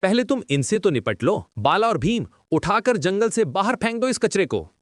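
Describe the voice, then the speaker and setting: A man playing a shrewd, confident goat king in a cartoon moral story, with a measured, regal way of talking. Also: high voice, sing-song, noisy background. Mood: mocking, defiant. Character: shrewd, confident billy-goat king, calm and far-sighted. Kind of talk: animated moral story dialogue.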